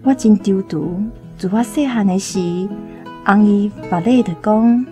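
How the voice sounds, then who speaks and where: A woman's voice: mid-range voice, measured pace, warm tone, soft background music, lightly acted. A woman with a Taiwanese accent playing a sorrowful young chieftain's daughter in a radio drama. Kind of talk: first-person radio drama monologue.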